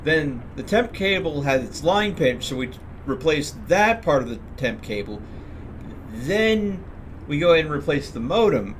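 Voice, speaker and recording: A man with a fairly high-pitched voice chats through a live gaming stream. He talks casually and explains things step by step in a loose, rambling way. There is clear background noise behind his voice. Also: very animated, a little slow.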